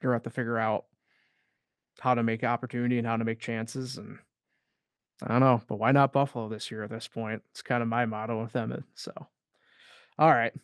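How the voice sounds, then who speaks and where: Casual sports talk dude, mid-pitched and rambly, lots of "like", dry close mic. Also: male voice, flat delivery, fairly quick, pauses often, smooth, dry recording. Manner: loose and rambling, heavy on fillers. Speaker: co-host of a sports podcast.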